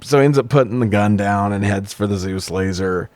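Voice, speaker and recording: A man's voice: lowish voice, fairly quick, a little mellow, dry recording. An American man co-hosting a casual comedic movie recap, sarcastic and freely swearing. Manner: profane, sarcastic. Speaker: podcast co-host.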